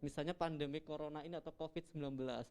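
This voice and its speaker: A man's voice: a little high, fairly even intonation, very fast, a little mellow, smooth. A young man hosting a live-streamed campus talk show, chatty and joking, laughing often.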